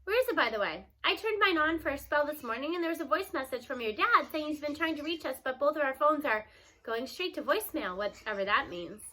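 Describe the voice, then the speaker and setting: A woman's voice: high-pitched, expressive, a little fast, pushed and bright. A woman voicing a spunky, sly old grandmother in a storybook, talking in folksy old-fashioned phrases.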